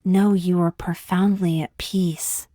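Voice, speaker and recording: Female meditation guide: lowish voice, very unhurried, calm delivery, close dry sound. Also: no room sound. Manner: calm, unhurried, gently measured with long pauses. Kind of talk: guided meditation, scripted soothing narration.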